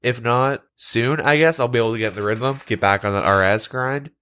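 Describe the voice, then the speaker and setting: A man's voice: mid-range voice, fairly quick, bright, forceful tone, smooth. Podcast co-host, male, plugged-up nose, easygoing. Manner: laid-back, lots of fillers like "like". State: stuffed-up nose from a cold. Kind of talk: casual chat. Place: shared room, two mics.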